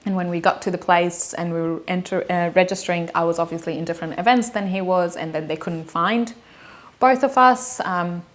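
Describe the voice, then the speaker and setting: A young woman from Afghanistan, in her twenties, tells her own story in an easy, casual way. Her voice sits a little low, and she talks with plenty of ums and likes and the odd soft laugh. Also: fairly even intonation, a little fast. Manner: chatty, hesitant, many ums and likes, laughs lightly.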